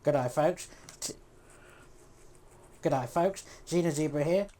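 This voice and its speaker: Woman voicing a low breezy Aussie zebra puppet. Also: measured pace, mellow. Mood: breezy, matey. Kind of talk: comedic puppet show dialogue.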